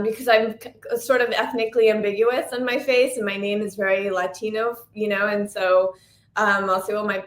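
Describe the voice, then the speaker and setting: A woman's voice: medium pitch, fairly bright tone. A woman with an American accent speaking reflectively and casually as an interview guest, often restarting and rephrasing her thoughts. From Sri Lanka, United States (Massachusetts) and France. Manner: reflective, self-correcting, stop-and-start. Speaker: interview guest, producer and journalist. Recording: roomy echo.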